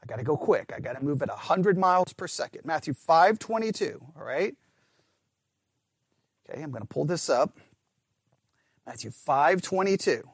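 A man's voice: a little high, expressive, normal speed, pauses often, slightly rough, dry recording, clean studio sound. A man teaching a conversational Bible study lesson, emphatic, asking his listeners questions and often restarting his sentences. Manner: emphatic, asks the class questions, frequent restarts.

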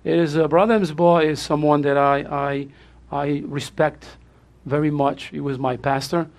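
A pastor with a Portuguese accent greets his congregation in a raspy, gravelly voice that sits a little high for a man. He is effusive and repeats himself, laughing often as he thanks people. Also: fairly even intonation, moderate pace, a little mellow. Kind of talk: church service welcome.

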